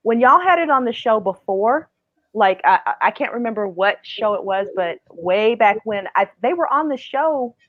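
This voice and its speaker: Excitable woman guest on a call. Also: on the high side, normal speed, narrow, tinny audio. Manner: excitable, playful, laughs easily. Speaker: guest author and actor. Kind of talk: casual chat.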